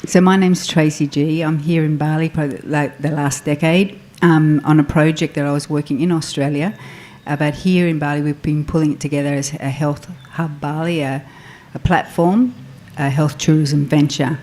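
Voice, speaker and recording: A woman's voice: deep voice, steady tone, normal speed, darkish tone. A woman founder introducing her health platform on a panel, explaining with some fillers.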